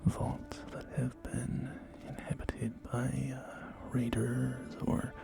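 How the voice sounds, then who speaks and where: A whispered, breathy ASMR roleplay voice playing a polite, genteel real estate agent, unhurried and hesitant, with refined phrasing. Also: mid-range voice, flat delivery. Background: soft music.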